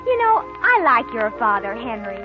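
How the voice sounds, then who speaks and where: Coy teenage girl, very animated. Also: a little high, moderate pace, over music. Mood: chatty. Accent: American. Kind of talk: 1940s radio acting. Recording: muffled vintage radio broadcast.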